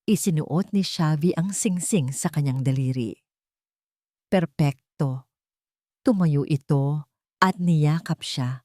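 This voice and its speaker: A woman narrating a scripted romance story in a sentimental, deliberate way. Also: deep voice, darkish tone, no room sound, studio quality.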